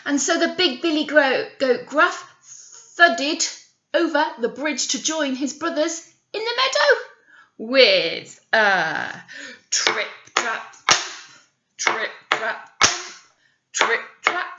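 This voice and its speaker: A woman's voice: sits high, very animated, slow pace, bright, forceful tone. A British woman, a teacher, telling a story to young children expressively, with coaxing questions and asides, on a slightly dull recording.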